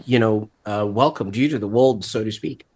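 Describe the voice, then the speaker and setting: A man's voice: fairly low pitch, normal speed, slightly rough. Podcast host man, joking and chatty. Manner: warm-hearted, joking, repeats "you know". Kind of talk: interview hosting.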